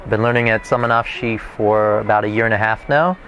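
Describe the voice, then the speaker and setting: A man's voice: fairly low pitch, fairly even intonation, brisk, lo-fi sound, soft background music. A man giving an informal testimonial as a student. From Florida, USA.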